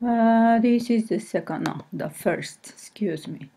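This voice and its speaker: A woman teacher with a Mexican accent, presenting to colleagues in a hesitant, halting way and often correcting herself. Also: fairly high pitch, mellow, husky. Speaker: English teacher. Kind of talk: screen-recorded presentation to colleagues.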